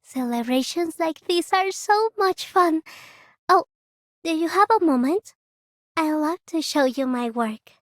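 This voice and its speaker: A sweet, earnest anime game girl. Her youthful voice is very high and slow-paced, recorded dry. She sounds gentle and eager. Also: expressive, dry recording. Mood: cheerful, proud. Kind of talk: scripted game character lines.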